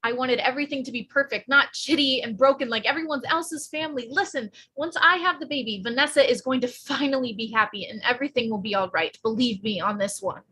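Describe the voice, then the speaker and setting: A woman's voice: high voice, quick pace, few pauses, pushed and bright, slightly rough, exaggerated voice. A woman playing a blunt, slangy, sarcastic sixteen-year-old pregnant girl in a live screenplay read, earnest underneath. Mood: alarmed, insistent. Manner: slangy, flippant, emphatic.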